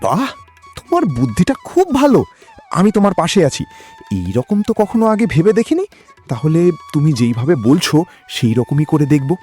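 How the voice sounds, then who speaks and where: A man's voice: on the high side, huge pitch swings, moderate pace, mellow, faint music behind. A man voicing a supportive, protective young husband in a village cartoon, speaking earnestly. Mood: encouraging. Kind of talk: cartoon voice acting.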